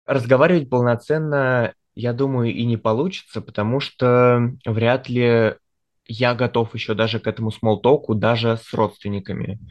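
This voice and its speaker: A man's voice: medium pitch, fairly even intonation, normal speed, highs cut off. A young man talking in a casual podcast interview, reflective and often searching for words. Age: young adult. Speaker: podcast guest, university student.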